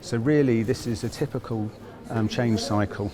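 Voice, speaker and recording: A man speaking at an event about health technology, measured and making his case with figures. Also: mid-range voice. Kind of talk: panel interview at an event. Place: conference event. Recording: busy crowd noise behind.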